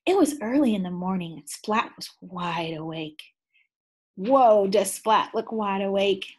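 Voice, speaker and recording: A woman teacher reading a picture book aloud to second graders. She is animated and keeps asking the children questions. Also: mid-range voice, measured pace. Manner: animated, asks the class many questions. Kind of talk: read-aloud lesson for children.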